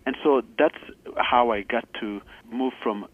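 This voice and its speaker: A man from Uganda, a guest on a radio interview, explaining earnestly with some halting restarts. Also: mid-range voice, dry recording. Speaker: guest, charity founder. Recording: phone line.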